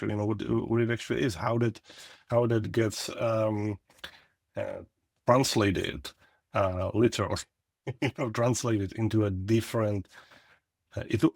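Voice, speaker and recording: A middle-aged man, hosting a relaxed conversation in a thoughtful, lightly joking manner. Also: mid-range voice, no room sound, studio quality. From Czechoslovakia. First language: Czech. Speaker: podcast host. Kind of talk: casual conversational interview.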